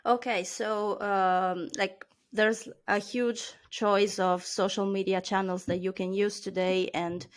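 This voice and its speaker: A woman's voice: mid-range voice, little pitch movement, slow pace, hardly any pauses. Italian woman, hesitant casual talk. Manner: hesitant, many fillers, laughs often. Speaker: marketing consultant, guest. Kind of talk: casual interview chat.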